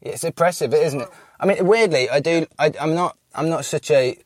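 A man's voice: fairly high pitch, a little fast, no echo. A man hosting a casual conversation, often restarting his sentences. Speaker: host.

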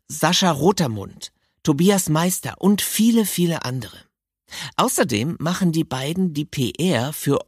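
A man's voice: on the high side, slightly rough, dry recording, clean studio sound. A man hosting a chatty interview podcast, speaking informally and with enthusiasm. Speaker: podcast host. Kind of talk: chatty interview podcast talk about audiobooks. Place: recording studio in Hamburg. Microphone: close studio microphone.

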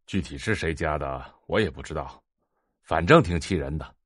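A man's voice: rumbling low, expressive, normal speed, fairly bright tone, husky, dry recording, clean studio sound, heavily acted. An actor playing a self-righteous, grumbling middle-aged man in an audio drama. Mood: annoyed. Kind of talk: Chinese audio drama acting.

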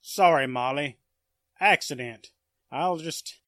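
A man's voice: on the high side, takes it slowly, dry recording, clean studio sound. A man playing a laconic, literal-minded village rustic in 1940s radio drama style, terse and unhelpful. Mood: sheepish. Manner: terse, flat-footed, unhelpful.

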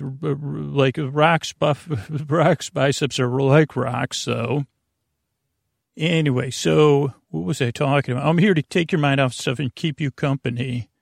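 A man hosting a bedtime podcast in a creaky voice, meandering and halting through soothing, rambling tangents. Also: on the high side, no room sound, clean studio sound. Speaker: podcast host.

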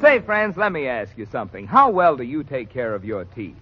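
A man's voice: mid-range voice, huge pitch swings, brisk, over loud music. A man announcing and reading a scripted sponsor message in 1940s radio style. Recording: dull old 1940s radio recording.

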